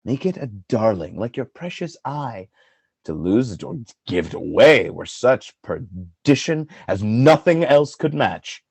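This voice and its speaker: Man acting proud, jealous general, slow and sweeping. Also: mid-range voice, sing-song, gravelly, a little mellow, breathy edge, no echo, exaggerated voice. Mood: ominous, intent. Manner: grand, measured declamation swelling into outbursts. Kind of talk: Shakespearean radio play acting, verse delivery.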